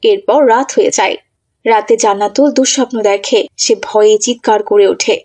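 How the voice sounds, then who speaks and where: A woman's voice: mid-range voice, fairly even intonation, moderate pace, darkish tone. A woman narrator reading a scripted moral story for children.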